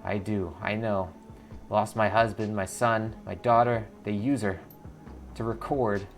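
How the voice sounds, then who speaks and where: A careworn, grieving woman in her thirties from a cyberpunk game, as a male player voices her. She speaks warily in short, clipped sentences with a gravelly edge, over background music. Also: on the low side, fairly even intonation, measured pace. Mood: grieving, pleading.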